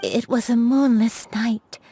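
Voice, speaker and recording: Gentle, slow-talking old grandmother. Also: fairly high pitch, expressive, a little hiss or hum. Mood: wistful, remembering. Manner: slow, halting, wistful. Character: kindly elderly grandmother recalling her past, gentle. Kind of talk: video game voice acting.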